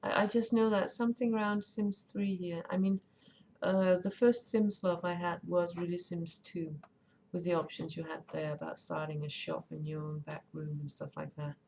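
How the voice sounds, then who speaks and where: A woman's voice: on the low side, steady tone, moderate pace, gravelly, a little mellow. A woman streamer with Danish as her first language, chatting casually and rambling while she plays and reads chat aloud. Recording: faint background noise.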